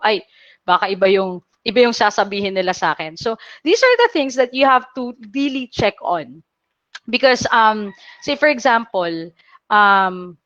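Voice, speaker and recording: A woman's voice: medium pitch, fairly bright tone, no room sound, lo-fi sound. A young woman from Bicol with a Filipino accent gives a casual webinar talk, mixing English and Tagalog, chatty and full of fillers. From Philippines, Bicol region. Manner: chatty, switches languages mid-sentence, many fillers. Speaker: webinar speaker, company operations officer.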